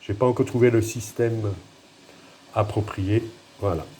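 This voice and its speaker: A man with a pronounced regional French accent talks through a dish in his home kitchen, recorded on a handheld phone. His voice sits fairly low and sounds dark and mellow. He hesitates, restarts and makes light of himself as he goes. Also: fairly even intonation, unhurried, slight background noise, low-quality recording. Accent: noticeable regional French accent. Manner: hesitant, self-deprecating, thinking aloud. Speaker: home cook. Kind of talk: casual cooking demonstration.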